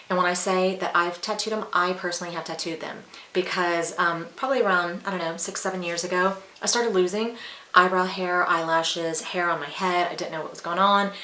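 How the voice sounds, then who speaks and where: A woman's voice: fairly low pitch, bright, forceful tone. A woman in her forties chatting casually and candidly to viewers as a beauty vlogger, rambling with asides. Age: 40s. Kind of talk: casual chat to viewers while applying makeup. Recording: roomy echo with faint hiss.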